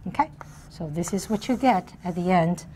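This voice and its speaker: Soft-spoken middle-aged woman lecturing. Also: lowish voice, lively intonation, moderate pace, a little hiss or hum. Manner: soft-spoken, asks the class frequent check-in questions. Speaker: university math lecturer. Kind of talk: classroom lecture. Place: large lecture hall with blackboard. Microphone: lapel mic.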